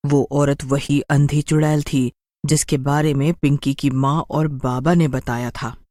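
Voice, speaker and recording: A woman's voice: a bass voice, steady tone, measured pace, warm tone, no room sound, studio quality. A woman narrating a scripted story in a dramatic storytelling style.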